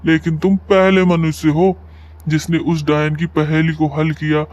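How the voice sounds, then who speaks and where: Guy acting as a wandering holy man in a cartoon, smooth highish voice, solemn and fatherly. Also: little pitch movement, moderate pace, a little mellow, natural voice. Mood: solemn, approving. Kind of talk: cartoon moral story voice acting.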